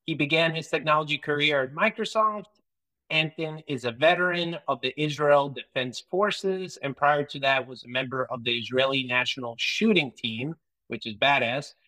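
A man's voice: fairly high pitch, normal speed, bright, forceful tone, poor audio. A man hosting a podcast interview, reading a guest introduction and then chatting casually and enthusiastically. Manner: enthusiastic, reads long intro then chats. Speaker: podcast host.

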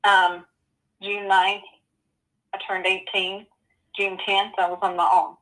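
A woman in her forties telling her personal story as an interview guest, earnest and halting, with many ums and you-knows. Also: medium pitch, little pitch movement, sharp tone, rough recording. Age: 40s.

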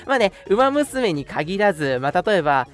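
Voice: mid-range voice, very animated, takes it slowly. A polite, conversational radio host chatting casually and announcing segments, of unclear sex. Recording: music playing behind the voice. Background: background music.

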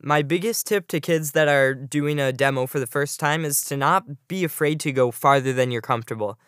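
Male podcast guest, fairly high voice, prepared advice read, dry sound. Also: normal speed, fairly bright tone, clean tone, no room sound. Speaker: guest giving a tip.

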